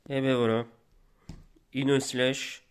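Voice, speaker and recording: A man in a mid-pitched voice rambles through a casual show-and-tell of flea-market toys. He's a little stuffed up from a cold. He stops and restarts his sentences often as he goes. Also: flat delivery, clear, resonant tone. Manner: rambling, halting, many restarts. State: slightly congested from a cold. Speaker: collector vlogger.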